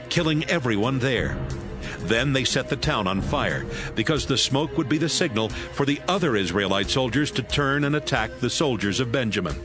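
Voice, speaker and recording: A man narrating scripture as a measured, scripted storyteller in an audio Bible. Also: medium pitch.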